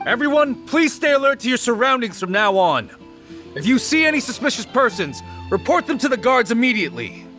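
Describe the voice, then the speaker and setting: A man's voice: extremely high pitch, big pitch swings, a little fast, pushed and bright, faint music behind, exaggerated voice. An actor playing a fired-up young man rallying a crowd in a video game, declaiming urgently. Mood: rousing.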